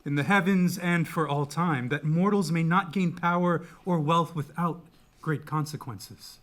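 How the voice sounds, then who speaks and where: A man reading as a grave Greek tragedy chorus speaker, measured and declamatory, telling of doom. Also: a little high, a bit bright. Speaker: chorus member.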